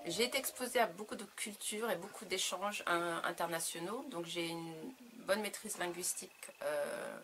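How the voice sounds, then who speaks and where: Hesitant low-voiced woman with a creaky edge. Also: music in the background. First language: French. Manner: hesitant, halting, searching for words. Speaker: author being interviewed. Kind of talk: reflective interview answers about own work.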